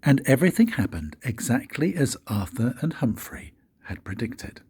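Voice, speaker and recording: An older man, a grandfather, reading a children's story aloud in a husky, breathy voice with expressive, dramatic pauses. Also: mid-range voice. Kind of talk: storybook read-aloud narration.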